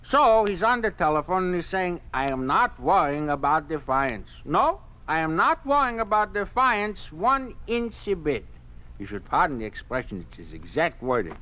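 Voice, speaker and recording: An actor playing a fussy, excitable older businessman in a 1950s radio drama, nagging and animated. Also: a little high, moderate pace, rough recording. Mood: conspiratorial, excited. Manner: nagging, animated, inverted phrasing. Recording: vintage 1950s radio broadcast.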